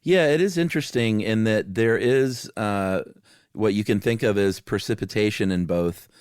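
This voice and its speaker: A man with a low, smooth voice chats as a podcast co-host. His manner is informal and laid-back, and the recording is very dry. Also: moderate pace, darkish tone, dry recording. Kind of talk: casual chat.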